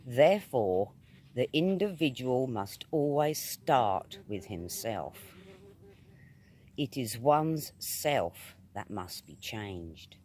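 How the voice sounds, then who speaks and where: Slow, expressive British male reader. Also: medium pitch. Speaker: reader of metaphysical essays. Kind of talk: scripted reading of a spiritual essay.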